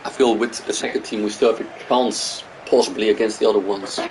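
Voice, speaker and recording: A man's voice: fairly low pitch, fairly even intonation, brisk, background noise. A man from the Netherlands and Greece, hosting a gaming video and thinking aloud in loose, rambling casual commentary.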